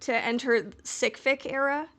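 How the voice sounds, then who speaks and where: A woman's voice: high-pitched, a little slow, a bit bright. A woman co-hosting a podcast, talking casually and reading out a written recap. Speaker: podcast co-host.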